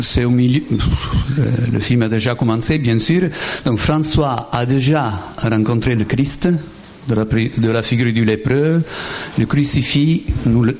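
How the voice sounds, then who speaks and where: A man with a thick Italian accent leads a spiritual retreat for lay listeners. He speaks in a halting, conversational way, full of fillers and restarts, with quick asides to the room. His voice sits in an ordinary middle pitch. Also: darkish tone. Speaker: Franciscan friar giving a retreat talk. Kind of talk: informal spiritual teaching to lay people.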